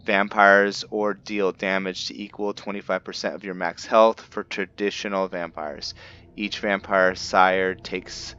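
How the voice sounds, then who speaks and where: A man's voice: sits low, level tone, unhurried, sharp tone, clean tone. A man giving casual gameplay commentary, thinking aloud as he plays. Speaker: gamer narrating own roleplay playthrough. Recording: slightly muffled, game music behind. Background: video game music.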